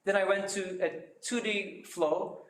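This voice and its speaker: A man's voice: sits high, steady tone, measured pace. Hesitant man explaining equations, echoey room. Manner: careful, hesitant explaining with many fillers. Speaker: seminar speaker. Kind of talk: academic seminar talk.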